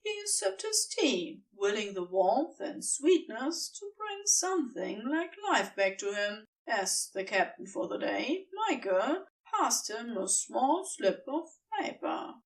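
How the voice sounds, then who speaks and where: A woman narrates a story in a fairly high voice. Her delivery is unhurried and deliberate, with lively swings in pitch and dramatic pauses for effect. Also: distant mic, low-quality recording. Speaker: audiobook narrator. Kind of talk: expressive scripted fiction narration.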